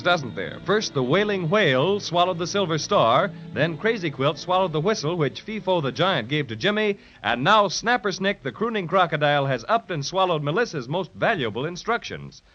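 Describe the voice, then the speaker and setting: A man's voice: fairly high pitch, sing-song, moderate pace, few pauses, a bit bright. A man narrating a 1930s children's radio serial as a folksy storyteller. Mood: folksy, wondering. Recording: dull 1930s radio recording with music.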